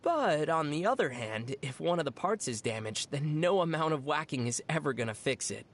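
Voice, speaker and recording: An actor playing a calm young traveler in video game dialogue, with measured explanations and a dry, deadpan, teasing wit. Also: mid-range voice. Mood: patient, wry.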